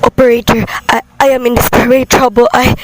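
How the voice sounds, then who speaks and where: A woman's voice: a little high, flat delivery, fairly quick, faint music behind, close to an everyday voice, low-quality recording. A woman playing a nervous, demanding invalid wife alone at home in a radio play, with an imperious, fretful manner. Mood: terrified, pleading.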